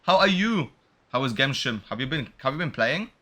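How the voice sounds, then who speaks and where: A man's voice: mid-range voice, sing-song, a little fast, pushed and bright. A young man doing casual livestream game commentary, upbeat and slangy as he chats with his viewers. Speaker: game streamer. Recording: music playing behind the voice.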